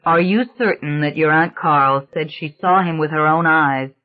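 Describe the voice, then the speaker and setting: A woman voicing a patient male priest who speaks gently and carefully to a child. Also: low-pitched, normal speed, lightly acted. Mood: coaxing. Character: earnest patient male priest, middle-aged. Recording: dull lo-fi recording.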